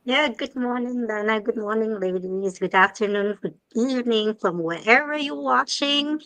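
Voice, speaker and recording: A woman's voice: fairly high pitch, moderate pace, a bit bright, no room sound, poor audio. A woman from Vietnam with a Vietnamese accent, introducing herself on a live video call in an upbeat, friendly way. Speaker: guest panelist. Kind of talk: casual live-stream introduction.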